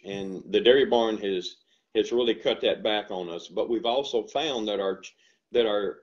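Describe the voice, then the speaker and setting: Older Southern farmer, folksy storyteller, headset call audio, slightly dull and lo-fi. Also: male voice, lowish voice. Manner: animated storytelling, earnest and folksy. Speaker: dairy farmer and ice cream shop owner. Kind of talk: casual interview over video call. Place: home room with bookshelves. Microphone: headset on video call.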